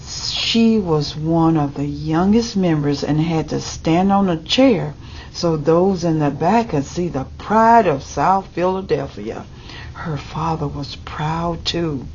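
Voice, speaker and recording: A woman reads a children's story in a deliberate, unhurried manner. Her voice sits low for a woman and takes its time. The recording is a little dull, with some noise behind her. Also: darkish tone. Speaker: storybook reader. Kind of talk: reading a children's picture book aloud. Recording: dull lo-fi audio with background noise.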